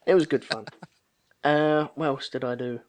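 A man's voice: medium pitch, fairly even intonation, moderate pace. A man in his thirties chatting casually and telling anecdotes, acting out the remarks he quotes. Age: 30s. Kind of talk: casual chat, storytelling.

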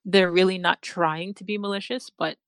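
Low-voiced woman hosting an interview. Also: moderate pace, no echo, clean studio sound. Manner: relaxed, laughs often, hedging. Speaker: host. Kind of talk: casual interview.

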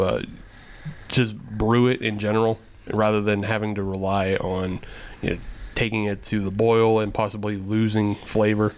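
A man co-hosting a casual podcast chat, explaining things in a rambling way with lots of fillers. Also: fairly low pitch. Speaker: podcast co-host.

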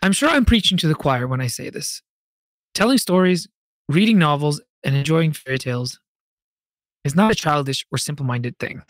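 Male podcast host, slightly high voice, expressive storyteller delivery, direct address, very dry close recording. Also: no room sound. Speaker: podcast host and fantasy author. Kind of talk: scripted podcast monologue with conversational asides.

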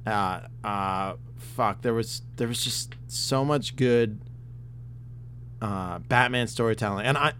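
Rambling, excitable male podcast host. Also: fairly low pitch, takes it slowly, slight background noise. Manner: rambling, excitable, swears a lot, many fillers and restarts. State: losing his voice. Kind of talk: casual chat.

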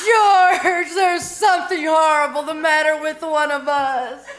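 A woman's voice: high-pitched, fairly even intonation, a little slow, a bit bright. A woman playing a dramatic, scheming young housewife in a 1940s-style radio comedy, with exaggerated theatrical delivery. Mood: self-pitying. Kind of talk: 1940s radio comedy acting, live performance. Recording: roomy live stage pickup, noisy.

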